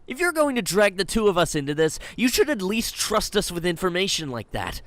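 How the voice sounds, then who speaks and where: A man's voice: high-pitched, very animated, fairly quick, a bit bright, no echo. A man playing a cocky young wasteland companion who talks earnestly and speaks his mind. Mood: concerned.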